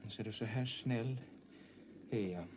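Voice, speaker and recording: A man's voice: on the low side, unhurried, lightly acted. An actor as a lonely neurotic night watchman. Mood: murmuring. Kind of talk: 1960s film acting. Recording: noisy old film soundtrack.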